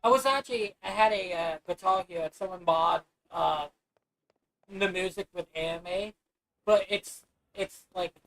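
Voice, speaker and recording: Hoarse, rambling woman streamer. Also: fairly low pitch, rough recording. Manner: rambling, loose, with many restarts. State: scratchy, hoarse voice. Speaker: gaming livestreamer. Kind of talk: casual chat while playing.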